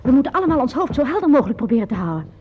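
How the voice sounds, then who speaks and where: A woman's voice: sits high, very animated, very fast. An actress playing an affectionate, anxious theatre woman in a radio play, endearing but insistent. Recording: dull, noisy old radio play recording.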